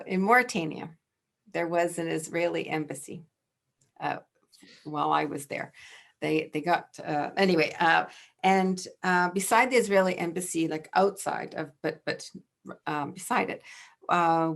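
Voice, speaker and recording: A woman being interviewed as a network director, telling a story haltingly with many restarts and fillers. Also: lowish voice, creaky.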